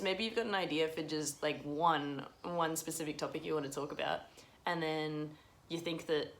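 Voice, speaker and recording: Rambling woman vlogger, lower voice. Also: a bit bright. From Russia. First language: Russian. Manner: rambling, self-deprecating, laughs often. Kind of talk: unscripted vlog ramble.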